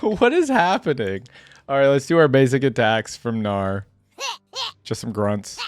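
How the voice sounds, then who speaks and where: A man's voice: medium pitch, very animated, a little slow, smooth, dry recording, faint music behind. Man giving casual, dry-sounding reaction commentary. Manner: informal, thinks aloud while reading out text. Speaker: host of a game reaction video.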